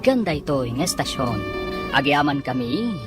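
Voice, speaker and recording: Low, animated woman radio announcer. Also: moderate pace. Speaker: radio drama narrator and announcer. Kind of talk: dramatic scripted radio narration. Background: prominent music.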